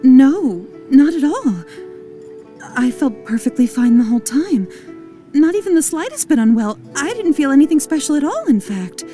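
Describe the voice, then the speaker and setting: A woman's voice: high voice, very animated, moderate pace. A woman playing a polite, earnest, deferential client in an anime-style video game, courteous and slightly hesitant. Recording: soft music behind the voice. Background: game music.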